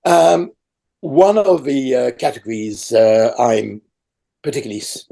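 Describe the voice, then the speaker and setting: A man's voice: mid-range voice, animated, unhurried, gravelly, dark tone. An older man, a native French speaker, answering interview questions over a video call in a rambling way with many fillers. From raised in France. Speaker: awards chair interviewee. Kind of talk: conversational interview.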